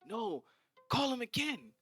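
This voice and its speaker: A man's voice: very high-pitched, very animated, takes it slowly, bright, forceful tone, husky, voice pushed up, exaggerated voice. A man voicing a spiteful, sneering teenage girl who leads a cruel group. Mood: gleeful.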